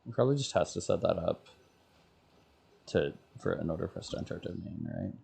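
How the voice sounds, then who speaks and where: Gamer dude muttering to himself on stream, deep dark voice, super raspy. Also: male voice, lively intonation, brisk. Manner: muttering, thinking aloud. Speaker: game streamer. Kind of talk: casual gameplay commentary.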